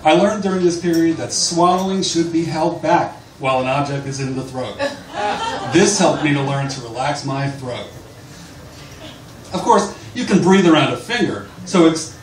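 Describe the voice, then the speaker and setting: A man's voice: on the high side, moderate pace. A man reading aloud from a book at a live literary event in a bar. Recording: distant, echoey, noisy live bar recording.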